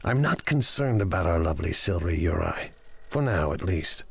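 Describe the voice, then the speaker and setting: Smug, scheming crime lord. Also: male voice, low-pitched, very animated, normal speed, creaky, husky, heavily acted. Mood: sly confidence. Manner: sardonic, self-assured. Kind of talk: scripted video game acting.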